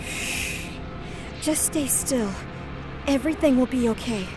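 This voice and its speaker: A protective, anxious goddess, played by a woman, murmurs softly in a high voice. It is scripted audio drama with loud music behind her. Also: slow. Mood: soothing. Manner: soft, murmuring.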